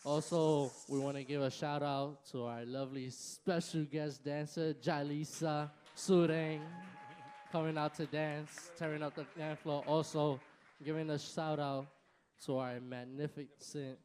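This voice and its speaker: A man on a concert hall stage giving casual thanks and shout-outs into a microphone, switching between English and Spanish. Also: a little high, flat delivery, slow. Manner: casual, hesitant stage patter. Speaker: bandleader and emcee.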